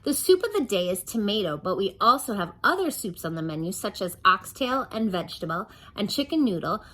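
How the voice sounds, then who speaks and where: Gushing, breathless chatterbox girl, high and bright, a woman doing the voice. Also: expressive. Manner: gushing, rushing run-on chatter. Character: nonstop-talking cheerful young woman, breathless chatterbox.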